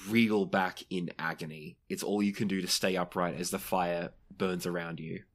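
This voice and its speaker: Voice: low-pitched, fairly even intonation, moderate pace. A game master running a tabletop role-playing game, narrating scenes and calling for rolls in a conversational way.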